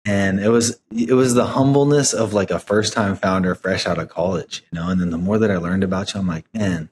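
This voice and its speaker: A man's voice: sits low, a little fast, darkish tone, slight background noise. A man, talking casually as the host of a podcast interview.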